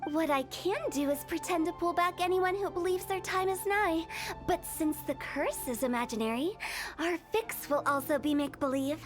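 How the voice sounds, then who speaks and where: A high-voiced, theatrical young woman. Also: moderate pace. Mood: earnest, storytelling. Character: eccentric young female funeral parlor director, confident, theatrical. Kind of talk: English video game voice acting. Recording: game music playing behind.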